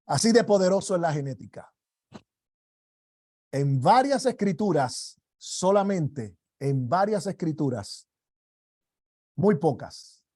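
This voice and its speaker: Man, sermon, emphatic declarations, drawn-out delivery, high voice. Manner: slow, emphatic preaching with rising shouted declarations. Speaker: apostle teaching a ministry class.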